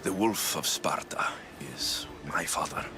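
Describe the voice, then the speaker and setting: Low, brooding Greek mercenary. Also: male voice, a little slow, in a whisper. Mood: hushed, tense. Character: brooding male Greek mercenary, son of a Spartan warrior. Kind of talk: dramatic video game acting.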